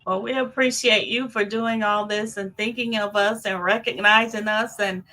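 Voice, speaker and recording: A woman, a guest author, thanking the host in a warm, grateful way. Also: mid-range voice, steady tone, moderate pace, rough recording. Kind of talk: casual thanks.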